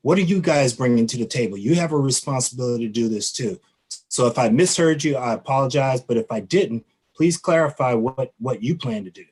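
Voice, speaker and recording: A man with a husky voice speaks at a public meeting. He is blunt and candid, putting direct questions to the people he addresses. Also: medium pitch, lively intonation, a little fast, darkish tone. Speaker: committee member.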